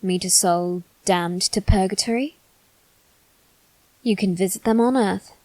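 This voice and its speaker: A woman playing a brooding, haughty aristocratic boy bent on revenge, speaking formally and deliberately in a dramatic ASMR roleplay. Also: mid-range voice, darkish tone, no echo, lightly acted. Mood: somber, resigned. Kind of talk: dramatic ASMR roleplay monologue addressed to listener.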